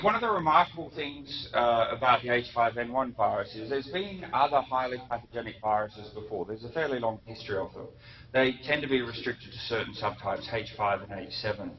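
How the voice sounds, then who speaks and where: A man giving an academic lecture, unhurried, with frequent ums and self-corrections. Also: mid-range voice, slight background noise. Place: lecture hall. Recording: muffled echoey hall recording from a distance.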